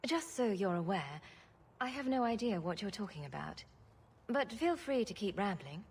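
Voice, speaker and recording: A woman's voice: medium pitch, big pitch swings, normal speed, breathy edge. A woman playing a sarcastic, joking adventurer in a video game, with a wry delivery. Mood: dry. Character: sarcastic, humorous adventurer woman, quick with dry jokes.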